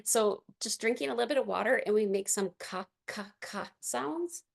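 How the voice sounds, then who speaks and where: Soft-spoken raspy woman guiding a class. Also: medium pitch. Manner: soft, gentle, unhurried guidance with fillers. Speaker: movement and meditation class leader. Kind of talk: guided movement class instruction.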